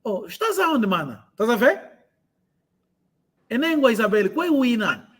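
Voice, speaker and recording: Male online language tutor, high register, translates and repeats phrases for students. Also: sing-song, husky. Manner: repeats phrases slowly for learners. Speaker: language teacher.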